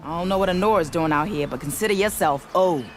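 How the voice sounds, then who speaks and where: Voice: mid-range voice, fast talker, a little hiss or hum, natural voice. A performer playing a plainspoken, grateful frontier quarry worker in a video game, with a folksy way of talking. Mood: grateful, weary. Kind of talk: video game dialogue acting.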